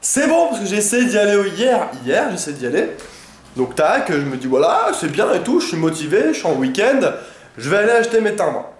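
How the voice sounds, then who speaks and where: A man's voice: high voice, very animated, fairly quick. A young man with a head cold, sounding congested, chatting casually in a rambling vlog with lots of hesitations and slang. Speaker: vlogger. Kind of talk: casual daily vlog chat. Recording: echoey room.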